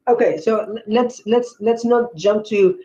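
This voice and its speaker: A man's voice: high-pitched, steady tone, unhurried, warm tone, highs cut off. A man defending his view in a heated religious debate, often stammering and restarting his sentences.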